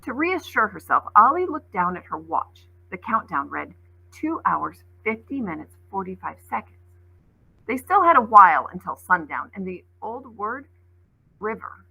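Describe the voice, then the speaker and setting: A woman's voice: medium pitch, very animated, normal speed, pushed and bright, slightly rough, low-quality recording. A woman schoolteacher reading a children's story aloud expressively and explaining it plainly for young listeners.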